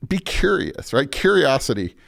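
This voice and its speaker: An American man, a pastor, in casual reflective conversation as a podcast guest, halting as he searches for words. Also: mid-range voice, big pitch swings, creaky, a bit bright.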